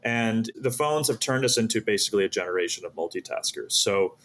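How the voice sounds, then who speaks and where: Male interview guest, fairly low voice, earnest explainer who talks through his ideas as a founder would. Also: expressive, a little fast, a bit bright, a little hiss or hum. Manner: earnest, explanatory, asks and answers his own questions. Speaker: podcast guest, startup founder.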